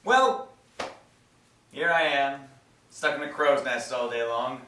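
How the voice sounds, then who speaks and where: A man's voice: medium pitch, lively intonation, takes it slowly, sharp tone, a little hiss or hum. A man performing a stage monologue as a vain, slangy, weary young ship's lookout who breaks into exclamations. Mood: wry, griping. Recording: echoey room, far from mic.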